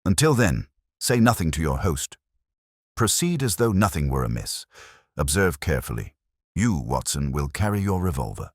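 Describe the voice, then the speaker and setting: A man voicing a shrewd, commanding gentleman detective in an audiobook, clipped and formal. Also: a bass voice, big pitch swings, normal speed, slightly rough, no echo, studio quality, heavily acted.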